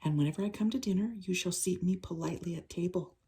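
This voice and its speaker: A woman voicing a kind, encouraging mother bunny in a storybook, with a soft husky voice. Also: lowish voice, fast talker. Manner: kindly, instructing.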